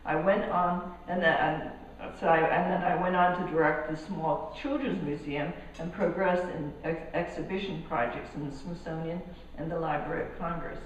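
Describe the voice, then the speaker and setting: A woman from Colombia, over sixty, giving a casual artist talk about her work, halting and restarting as she goes. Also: on the low side, distant mic. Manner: halting, with many restarts and fillers. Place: gallery hall. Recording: echoey hall, speaker off mic, faint noise.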